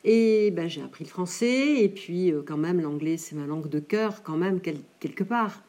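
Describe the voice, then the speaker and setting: A woman's voice: lowish voice, expressive, normal speed, dark tone. A woman teacher whose first language is English, answering casually and hesitantly with many fillers. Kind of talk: casual spoken interview answer.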